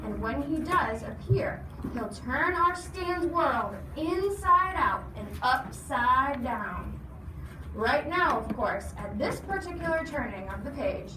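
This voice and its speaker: A woman reading a storybook aloud expressively, stopping to explain and ask questions. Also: high-pitched. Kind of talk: animated storybook read-aloud with asides. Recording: noisy, echoey room, distant mic.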